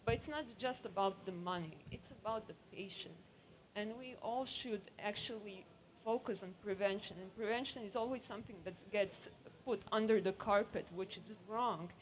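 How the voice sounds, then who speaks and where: A woman's voice: mid-range voice, normal speed, poor audio. A woman from Croatia with a Croatian accent, giving an earnest, emphatic comment from the floor at a conference. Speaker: audience member, hospital professional. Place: conference session, speaking from audience. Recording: live event with clear background noise.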